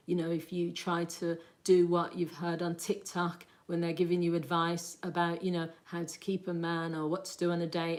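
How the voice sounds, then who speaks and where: A woman's voice: on the low side, fairly bright tone. A woman hosting a casual livestream, chatting at length and answering viewer comments with frequent laughter. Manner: chatty and rambling, laughs often. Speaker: livestream host.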